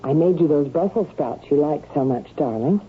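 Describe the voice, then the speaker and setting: An actress playing an anxious, affectionate wife with a secret in a radio drama, speaking dramatically and often repeating herself. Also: female voice, low-pitched, animated, moderate pace. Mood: concerned, solicitous. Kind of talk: old-time radio drama acting. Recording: narrow-band, noisy old radio broadcast.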